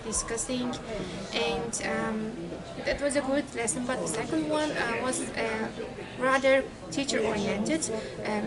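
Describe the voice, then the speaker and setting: A woman describes lessons she observed, her voice a touch high. She pauses often and searches for words. A dense wall of crowd chatter surrounds her. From Turkey. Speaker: English teacher. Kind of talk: interview answers. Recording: very loud crowd chatter behind.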